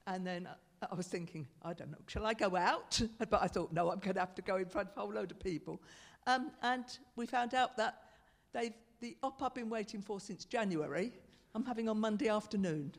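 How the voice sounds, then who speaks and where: A woman's voice: medium pitch, fairly quick, gravelly. A woman sharing a testimony at church, rambling and breaking off mid-thought. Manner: rambling, self-interrupting, laughs often. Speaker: congregation member sharing a testimony. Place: church hall. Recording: long hall reverb with faint hiss.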